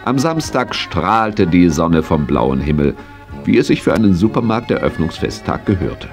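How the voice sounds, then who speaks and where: A man's voice: sits low, sing-song, moderate pace, over music. A man acting as the narrator of a children's radio play, telling the tale in scripted storytelling style. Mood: cheerful.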